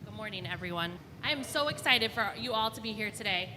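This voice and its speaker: A woman's voice: mid-range voice, expressive, fast talker. A woman leading a children's story hour, reading aloud to an audience in short, simple storytelling phrases. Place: large indoor room with children on the floor. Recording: reverberant hall, clear background noise.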